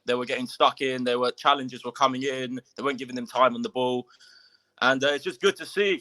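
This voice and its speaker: A man calling in as a fan, croaky from screaming, talking hesitantly with lots of fillers. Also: mid-range voice, sharp tone, low-quality recording. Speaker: caller, football fan. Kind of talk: casual fan chat.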